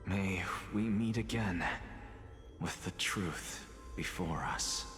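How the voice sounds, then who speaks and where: A man playing an arrogant, defiant scholar in a video game, speaking in a deliberate, theatrical and pompous way. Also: low voice, music in the background. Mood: solemn, resolute. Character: arrogant, paranoid male scholar, honest, theatrical, defiant loner. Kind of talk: dramatic video game voice acting.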